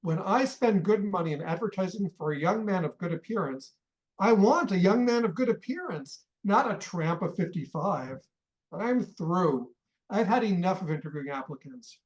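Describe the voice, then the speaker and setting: An actor playing a brusque, impatient employer in a radio play, blunt, grumbling and slangy. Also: male voice, high voice, animated, normal speed, highs cut off. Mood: exasperated, blunt. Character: brusque, exasperated, impatient employer interviewing applicants.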